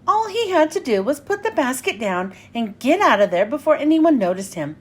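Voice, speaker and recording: A woman reading a children's storybook aloud as host, with animated, clearly enunciated storytelling. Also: high-pitched, moderate pace. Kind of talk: expressive storybook narration for young children.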